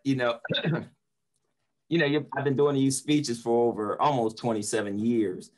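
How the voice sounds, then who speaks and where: A middle-aged man, a motivational speaker, telling stories conversationally over a video call from a room at home. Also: mid-range voice. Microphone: computer microphone on a video call.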